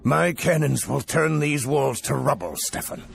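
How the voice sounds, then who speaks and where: Gravelly, gruff artillery captain. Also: male voice, mid-range voice, a little slow, background noise. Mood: commanding, eager. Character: gruff, battle-seasoned artillery captain, blunt and eager. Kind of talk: fantasy game voice acting. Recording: music behind the voice.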